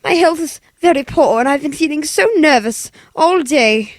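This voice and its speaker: A woman's voice: high voice, lively intonation, moderate pace, dry recording, studio quality. A woman playing an anxious, demanding, frail invalid wife in a 1940s-style radio play, fretful and given to breathless exclamations. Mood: fretful, nervous.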